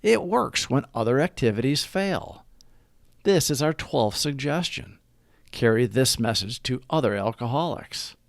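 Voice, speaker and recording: A man reading a scripted reflection aloud in a measured, deliberate way, with pauses between phrases. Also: medium pitch, animated, gravelly, no room sound, clean studio sound. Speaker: narrator of a daily recovery reading. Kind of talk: scripted read-aloud narration.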